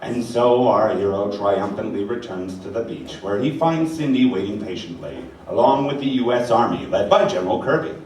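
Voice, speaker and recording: A man's voice: on the low side, lively intonation, normal speed, few pauses, far from the mic, slight background noise. A man narrating and announcing a live radio play with dramatic flair. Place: large rehearsal hall before an audience. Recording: very echoey hall, music, loud audience.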